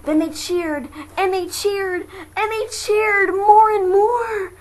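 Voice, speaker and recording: A woman reading children's verse aloud as an amateur storyteller, dramatic and deliberate in her delivery. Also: very high voice, steady tone, darkish tone. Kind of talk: expressive children's verse narration. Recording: faint noise, amateur home recording. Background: faint ambient noise.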